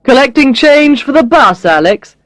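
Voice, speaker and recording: A woman's voice: medium pitch, very animated, normal speed, a little mellow, no room sound, close to an everyday voice. Woman doing a cocky sardonic boss guy, dry and mocking, audiobook character, close dry sound. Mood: cool, brisk. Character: sardonic, teasing, self-assured male boss.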